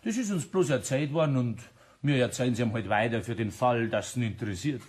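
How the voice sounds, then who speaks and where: A man's voice: mid-range voice, quick pace, breathy edge, close to an everyday voice. An actor playing a mischievous, jovial Bavarian tradesman, a bossy ringleader among pub regulars in a radio play. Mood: scheming.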